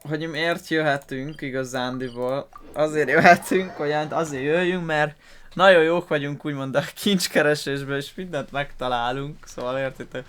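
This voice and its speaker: A man's voice: on the high side, steady tone, moderate pace, a bit bright, a little hiss or hum. A man with a Hungarian accent giving casual, informal, sweary commentary as a gaming video host. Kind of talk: casual let's-play commentary. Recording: faint game music behind the voice.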